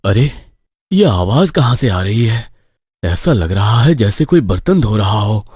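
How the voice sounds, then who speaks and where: A man's voice: on the low side, sing-song, a little slow, darkish tone, husky, no room sound, clean studio sound, heavily acted. A man playing a nervous, sceptical young stationmaster from the city in a cartoon horror story. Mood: puzzled.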